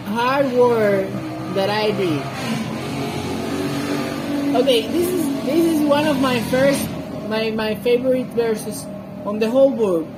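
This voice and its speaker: A woman who is not a native English speaker, giving informal Bible commentary with personal stories in a halting way, searching for words. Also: a little high, background noise. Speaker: scripture reader and commentator.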